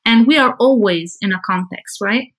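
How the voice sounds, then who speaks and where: A woman's voice: mid-range voice, moderate pace. A woman from Lebanon speaking as an architect guest in an interview, thoughtful and conversational, feeling out her phrasing as she goes.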